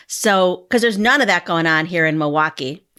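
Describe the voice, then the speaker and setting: Slightly low-voiced woman, chatty host. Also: normal speed, pushed and bright, clean tone. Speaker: podcast host. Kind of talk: casual interview chat.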